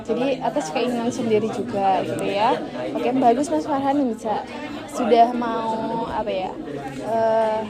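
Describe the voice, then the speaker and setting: A woman's voice: a little high, unhurried. A young woman speaking as a student counselor, politely and reassuringly asking questions in a counseling session. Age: young adult. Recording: busy public hall, loud crowd chatter.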